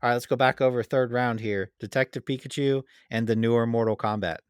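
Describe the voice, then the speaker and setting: A man's voice: medium pitch, steady tone, brisk, clean tone, no room sound, clean studio sound. A man co-hosting a podcast in casual debate, easygoing as he prompts his partner. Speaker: podcast co-host.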